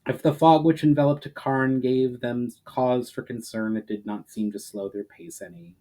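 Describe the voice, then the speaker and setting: Male narrator for epic fantasy audiobook, medium-pitched, dramatic delivery with the odd stumble. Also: fairly even intonation, moderate pace, mellow. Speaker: author and narrator reading his fantasy novel aloud.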